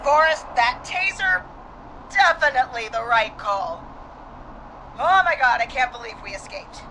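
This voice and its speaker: A woman's voice: high-pitched, animated, measured pace, background noise. An actress playing a brave but frightened small-town woman dispatcher on the run in a video game. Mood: relieved, determined.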